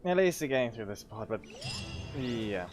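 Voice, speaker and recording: A man's voice: mid-range voice, expressive, moderate pace. A man giving casual, offhand gameplay commentary as a streamer. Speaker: game streamer. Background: game music.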